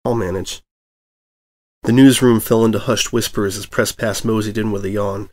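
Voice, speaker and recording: A man's voice: on the low side, steady tone, fairly quick, darkish tone. A man reading a story aloud as narrator in a scripted storytelling style. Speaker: fan fiction reader, narrator.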